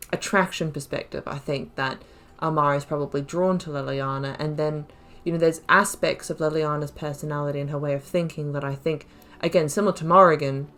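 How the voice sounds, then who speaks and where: Low-pitched woman thinking aloud. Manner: rambling, reflective, thinking aloud. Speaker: gaming video host. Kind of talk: casual playthrough commentary with story analysis.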